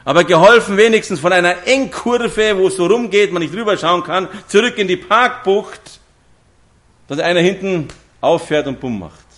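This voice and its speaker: A man's voice: high voice, expressive, normal speed, a bit bright. A man, preaching a sermon to a congregation and telling stories with direct questions to his listeners. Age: 50s.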